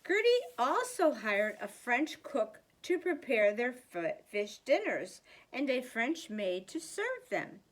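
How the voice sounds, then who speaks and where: An older woman reads a children's storybook aloud like a grandmother, with deliberate, expressive emphasis for young listeners. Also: on the high side, rough recording. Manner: slow, deliberate, animated emphasis.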